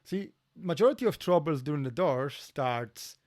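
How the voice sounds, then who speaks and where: A middle-aged man with a Polish accent speaks English as a second language, chatting casually as a podcast guest with halting phrasing, repeated words and many fillers. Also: fairly high pitch, lively intonation, a little mellow. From Poland. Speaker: podcast guest, door security worker.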